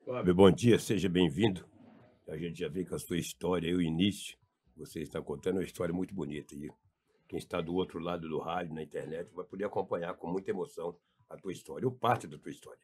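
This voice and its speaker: A Brazilian man co-hosts a radio show in a conversational style. His voice is very low and rough around the edges. He moves through his words at a quick pace. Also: a little mellow. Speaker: radio co-host. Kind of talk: live radio welcome, conversational.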